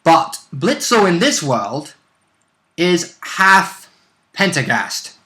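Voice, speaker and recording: A man's voice: fairly high pitch, big pitch swings, drawn-out words, pushed and bright. A man co-hosting a tabletop role-play show, explaining in casual, rambling chat and stumbling over his words.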